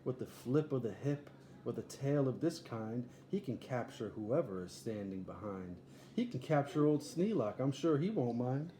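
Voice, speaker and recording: A man's voice: medium pitch, lively intonation, moderate pace, mellow, close to an everyday voice. A man voicing an eager, boastful boy showman in a storybook, barking grandly like a ringmaster. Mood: showy, proud. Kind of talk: storybook read-aloud character. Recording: echoey room, faint background noise.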